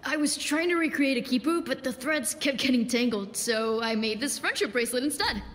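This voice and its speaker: A woman's voice: sits high, animated, brisk, a bit bright, a little hiss or hum. A woman voicing a bubbly, eager young archaeologist in a video game. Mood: cheerful. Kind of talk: video game character dialogue. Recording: reverberant hall.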